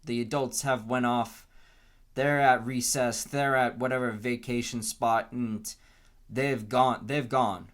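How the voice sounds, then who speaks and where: A man in his twenties with an American accent, giving an earnest, unscripted opinion monologue in a deliberate way, repeating phrases for emphasis. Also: medium pitch, steady tone, fairly bright tone. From Canton, Massachusetts, US. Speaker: podcast host.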